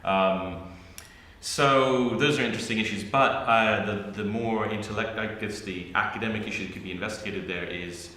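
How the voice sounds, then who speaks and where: A man's voice: lowish voice, moderate pace, pushed and bright. A man speaking on an academic panel, thinking aloud with many restarts and fillers. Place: university arts centre hall. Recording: roomy hall with long reverb.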